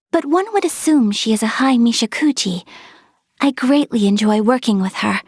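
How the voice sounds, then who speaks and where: Casting: refined young noblewoman, game character. Higher-pitched, dry studio sound, gracious and formally spoken. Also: a little mellow, no echo. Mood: lightly amused. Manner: formal, poised, politely measured diction. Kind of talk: video game character voice lines.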